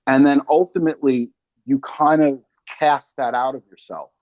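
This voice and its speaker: A man's voice: mid-range voice, steady tone, moderate pace, darkish tone, no echo, dull audio. A man hosting a casual film interview, talking emphatically in slang and analogies. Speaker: podcast host and interviewer.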